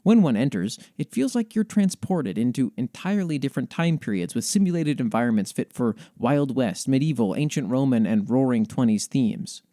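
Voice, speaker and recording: A man hosting a podcast, reading fantasy lore in an expressive, measured storytelling way with casual commentary. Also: medium pitch, no echo, studio quality. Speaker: podcast host.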